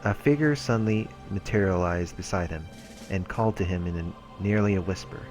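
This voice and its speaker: A man's voice: sits low, a little fast. A man hosting a let's play, reading visual novel text aloud with muttered, musing asides. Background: game background music.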